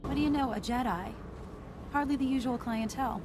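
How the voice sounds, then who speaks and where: Woman as a brisk undercover agent, noisy background. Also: fairly high pitch, close to an everyday voice. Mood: businesslike. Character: businesslike female undercover intelligence agent. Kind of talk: scripted video game dialogue.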